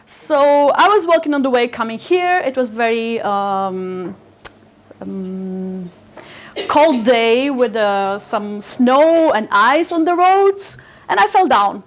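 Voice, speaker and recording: Woman, forties, native Turkish speaker, high voice, halting and chatty, frequent fillers. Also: expressive. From Bulgaria. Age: 40s. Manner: hesitant, frequent fillers and restarts, laughs often. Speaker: event speaker. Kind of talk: personal story talk.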